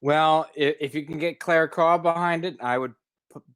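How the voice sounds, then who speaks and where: A man from the United States co-hosting a conversational news commentary, speaking in a measured, halting way with formal wording. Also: fairly high pitch, steady tone, fairly bright tone, smooth. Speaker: co-host commentator.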